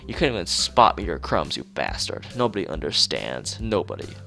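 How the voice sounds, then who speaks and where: A man acts out a young conspiracy-obsessed schoolboy from a visual novel. He rants and pleads with urgent melodrama, his pitch leaping about over a slightly gravelly edge. Light music plays behind him. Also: very animated, normal speed, bright, forceful tone, exaggerated voice. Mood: indignant. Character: paranoid, ranting young male conspiracy theorist schoolboy. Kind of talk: reading visual novel dialogue aloud.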